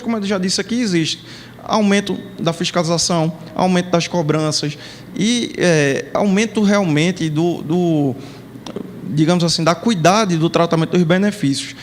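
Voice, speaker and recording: A man's voice: fairly high pitch, slight background noise. A man speaking on a panel, explaining rules step by step with worked examples, in a Brazilian Portuguese accent. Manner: explanatory, works through numeric examples. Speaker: panel speaker on pension law. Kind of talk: lecture at a panel. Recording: long hall reverb.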